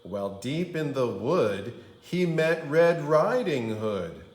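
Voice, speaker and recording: Male kindergarten storyteller, expressive and very unhurried, roomy sound. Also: mid-range voice. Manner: expressive, dramatic storytelling for young children. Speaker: kindergarten teacher reading aloud. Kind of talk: animated storybook read-aloud.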